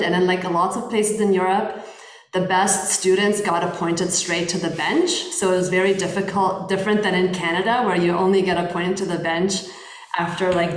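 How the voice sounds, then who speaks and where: A woman in her thirties from Poland, a lawyer guest talking thoughtfully in an interview, often restarting her sentences. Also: fairly low pitch. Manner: thoughtful, measured, frequent restarts. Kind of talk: interview conversation. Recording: roomy echo.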